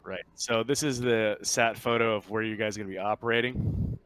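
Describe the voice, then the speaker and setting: A man briefing players as game master of a tabletop roleplaying game, explaining informally and haltingly with many pauses. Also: fairly low pitch, steady tone, a bit bright, a little hiss or hum, poor audio.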